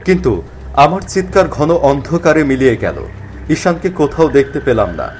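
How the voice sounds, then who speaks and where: A man's voice: on the high side, animated, normal speed, darkish tone, slight background noise. A man narrating a scripted horror story in a dramatic first-person storytelling style.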